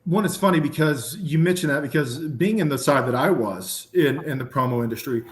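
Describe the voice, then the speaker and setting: A man's voice: fairly high pitch, a little fast. Man, Southern drawl, podcast guest, words running together, echoey room. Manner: runs words together, many fillers. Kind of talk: casual podcast conversation.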